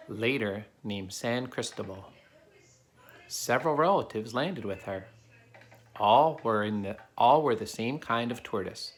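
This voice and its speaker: A man reading a children's picture book aloud, explaining things simply as he talks to a child. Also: on the low side. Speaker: adult reading to a child helper. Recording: clear background noise.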